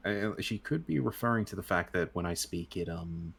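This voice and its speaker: Guest, male, very deep and gravelly, casual rambling storyteller over a home mic. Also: animated, moderate pace, a bit bright. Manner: rambling, self-correcting storyteller. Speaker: interview guest. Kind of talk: casual chat.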